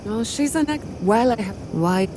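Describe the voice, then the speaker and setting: A woman's voice: fairly high pitch, lively intonation, normal speed, background noise, close to an everyday voice. An actress playing a guarded, thoughtful woman companion in a fantasy video game. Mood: wary. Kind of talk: video game dialogue acting.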